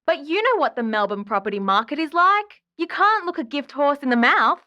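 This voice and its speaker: High-pitched, dramatic, exasperated woman caller. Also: big pitch swings, fairly quick, sharp tone, lo-fi sound, heavily acted. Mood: chatty, wistful. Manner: dramatic, chatty, aggrieved. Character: exasperated, dramatic woman caller griping about her ghost ex. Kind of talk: audio drama phone-in acting.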